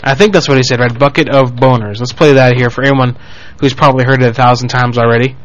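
Fast-talking crude radio host, man. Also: mid-range voice, fairly even intonation, muffled recording. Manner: fast, crude, joking rants. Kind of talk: casual radio banter.

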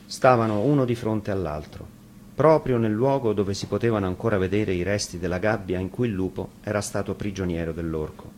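A man with a fairly low voice tells a children's fairy tale from a script. He works as the storyteller, and faint noise sits behind the recording. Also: brisk, a little mellow.

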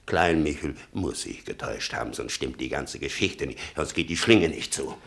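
An actor playing a bitter, sarcastic old father in a German radio play, rambling and muttering with a gravelly voice. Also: rumbling low, lively intonation, normal speed, fairly bright tone, heavily acted. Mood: bitter, brooding. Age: older. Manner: rambling, sardonic, muttering and flaring up.

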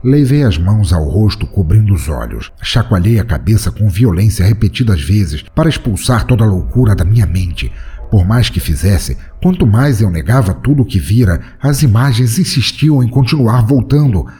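A man's voice: fairly low pitch, animated, normal speed, dark tone, husky, soft background music. A man narrating a first-person horror story in a dramatic, tense storytelling manner. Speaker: narrator and podcast host.

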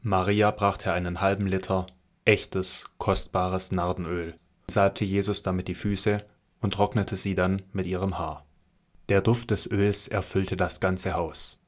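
A man narrating a scripted Bible audio drama in a measured, solemn storytelling manner. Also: low-pitched, flat delivery.

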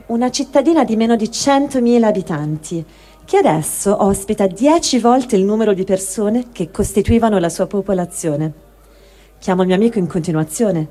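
A woman's voice: medium pitch, mellow. A woman giving a dramatic reading of a first-person written testimony. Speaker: reader of a translated testimony.

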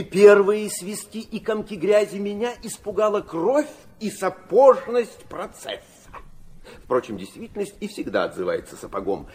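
An actor playing an anguished, idealistic middle-aged nobleman in a radio drama, confiding and philosophizing in a theatrical, halting manner. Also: sits high, dark tone, a little hiss or hum.

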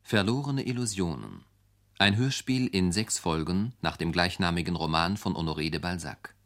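A man's voice: deep voice, lively intonation, normal speed, fairly bright tone. A man reading a formal scripted programme announcement as a radio announcer.

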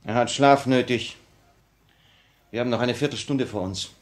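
An actor in a 1950s German radio play playing the firm, caring leader of a revolutionary cell, measured and probing. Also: male voice, medium pitch, noisy background, close to an everyday voice. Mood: firm, commanding.

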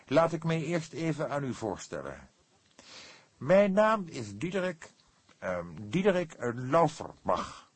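A man's voice: a little high, sing-song, slow pace, husky, dry recording, pitched above the performer's own voice, full character voice. A man voicing an irritable, self-important male literary publisher in an audiobook, speaking formally and emphatically. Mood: formal, proud. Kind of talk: Dutch audiobook character voice.

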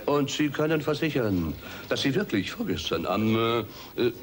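An actor playing a probing, condescending police inspector in a German radio play, with halting questions that often end in a tag. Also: male voice, medium pitch, big pitch swings, a crowd in the background, close to an everyday voice.

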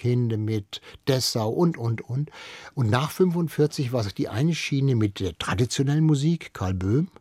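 Husky man in a dry studio. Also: medium pitch, darkish tone, no room sound. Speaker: radio expert guest. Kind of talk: explanatory interview talk.

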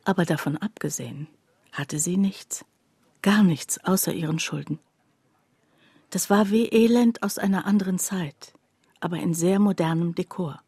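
An actress playing a reflective woman who narrates a radio drama about a destitute friend in a confiding, deliberate way, with a husky, breathy voice. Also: fairly low pitch, dark tone, no echo. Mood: sober, pensive. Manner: confiding, deliberate, addressing the listener directly. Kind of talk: intimate radio drama monologue.

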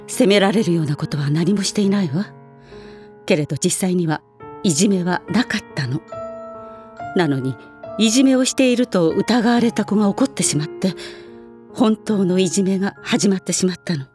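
Actress as grown woman schoolteacher, medium pitch, gentle, guarded, audio drama, faint music. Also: expressive, moderate pace, lightly acted. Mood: regretful, explaining. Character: gentle, guarded adult woman schoolteacher recalling former pupils. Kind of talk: Japanese audio drama acting.

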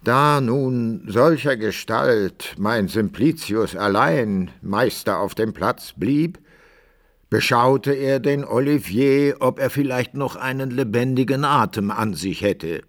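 An actor playing an author who narrates his hero's adventures in a deliberate, measured way, in archaic German for a radio play. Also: mid-range voice. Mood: solemn narrating. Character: male author-narrator fondly recounting his hero Simplicius's adventures.

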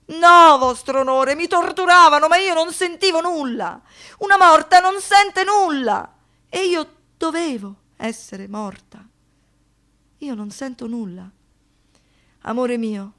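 An anguished woman, a survivor speaking a theatrical radio monologue. Her voice sits fairly high and moves in short, fragmented poetic phrases, ranging from breathy hush to raw intensity. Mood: desperate, agitated. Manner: halting short phrases, dropping to whispers, rising to outbursts.

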